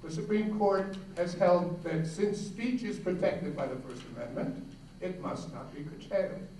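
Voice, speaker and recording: A man's voice: high-pitched, a little slow, dark tone. A man reading out an argument in a staged dramatic reading in a large hall. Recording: echoey hall, distant, faint noise.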